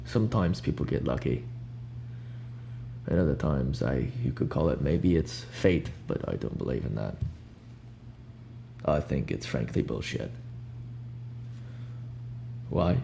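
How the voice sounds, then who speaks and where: A young man improvising a soft-spoken ASMR roleplay as a cool, ominous recruiter, talking in a casual, blunt, unhurried way over hiss and hum. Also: a bass voice, animated, vocal fry. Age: young adult. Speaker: ASMR roleplayer playing a job interviewer. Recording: audible background hiss and hum.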